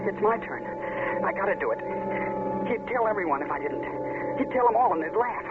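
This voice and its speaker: High, swooping, slow-talking scared teen boy in a hissy, tinny old radio play. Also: animated. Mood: anxious. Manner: tense, blustering, breathless. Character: teenage boy, cocky and frightened. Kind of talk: 1940s radio acting.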